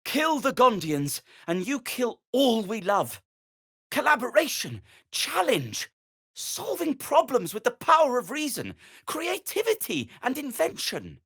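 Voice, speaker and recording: A man's voice: very high-pitched, huge pitch swings, measured pace, sharp tone, breathy edge, heavily acted. A man playing an earnest, principled gnome in a video game, pleading and moralizing in articulate, emphatic phrases. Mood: impassioned pleading. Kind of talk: dramatic video game dialogue acting.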